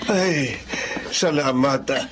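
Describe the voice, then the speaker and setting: An actor playing a kindly, cheerful older father in a Filipino radio drama, with warm drawn-out exclamations. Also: medium pitch, background noise, lightly acted. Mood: happy, relieved. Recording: loud music under the voice.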